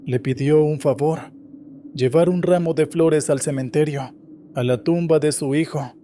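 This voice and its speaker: A man reading scripted first-person horror stories in a steady, measured way. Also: on the high side, slight background noise. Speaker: horror story narrator.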